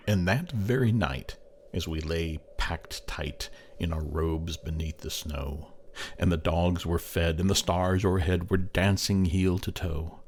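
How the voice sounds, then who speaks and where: A man narrating a poem as a dramatic recitation, theatrical and measured, with long pauses. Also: low voice, a little mellow, a little hiss or hum.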